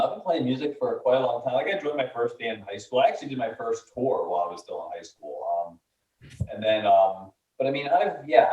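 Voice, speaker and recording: A man's voice: medium pitch, a little mellow. Rambling man in his thirties, distant and roomy. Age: 30s. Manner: rambling, laid-back storytelling with many fillers. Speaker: band member. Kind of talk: casual chat. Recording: roomy, distant, dull mic.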